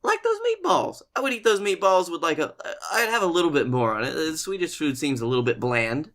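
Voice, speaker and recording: A man's voice: on the high side, big pitch swings, brisk, a bit bright. A man with an American accent telling casual, rambling comic stories as a podcast co-host, swearing freely as he goes. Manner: rambling, profane, conversational. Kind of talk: casual comic storytelling chat.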